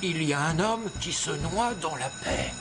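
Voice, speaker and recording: A lively, swooping male fire chief voiced by an actor, fussy and earnest. He talks in an official, slightly flustered cartoon manner over loud music. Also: a little high, moderate pace, close to an everyday voice. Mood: urgent, flustered. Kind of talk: animated children's cartoon dubbing.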